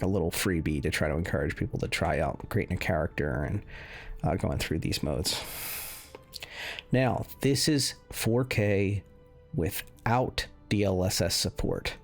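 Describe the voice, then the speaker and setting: A man giving casual, unscripted commentary as a game reviewer, explaining things in a meandering, hesitant way. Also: low voice. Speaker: video game reviewer running benchmarks. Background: music under the voice.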